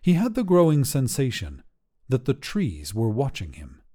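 A man narrating a scripted short story as a podcast host, in an expressive storytelling manner. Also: medium pitch, normal speed.

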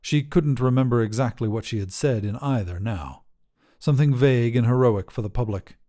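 A man's voice: medium pitch, a little fast, warm tone. A man narrating scripted short fiction as the host of a story podcast.

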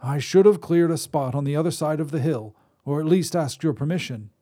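A man's voice: on the high side, fairly quick, mellow, voice pushed up, lightly acted. A man voicing an old male wizard with a reedy voice who talks politely and apologetically. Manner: polite, contrite, eager to please. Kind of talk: storybook reading.

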